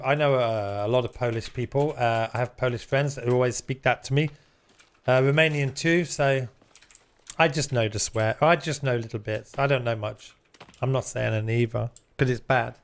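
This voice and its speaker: A man's voice: mid-range voice, steady tone, moderate pace, smooth. A man chatting casually while live-streaming a game, reading out viewer comments and swearing now and then. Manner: chatty, swears casually, reads chat aloud.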